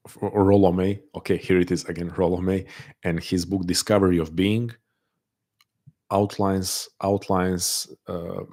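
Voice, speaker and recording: A man speaks English with a non-native accent that listeners often take for Russian. His voice is very low and quite even in pitch. He talks softly and hesitantly into a desk mic, restarting his sentences as he answers a live chat. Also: measured pace. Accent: non-native English, often taken for Russian. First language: not English. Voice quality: soft-spoken, hesitant, many restarts. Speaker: livestream host answering chat.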